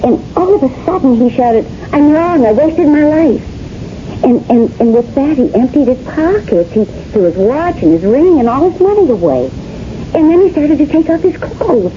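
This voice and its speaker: A helpful female museum employee with a fairly high, very animated voice. She speaks haltingly, circling back over her words in 1970s radio-drama style. The old recording is dull and noisy. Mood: earnest. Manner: hesitant, halting, repeating words.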